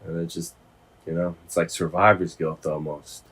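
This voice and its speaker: Husky low-voiced man, casual and halting. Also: level tone, fairly bright tone, low-quality recording. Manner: halting, informal, sweary. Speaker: host, former prisoner. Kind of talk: casual phone conversation.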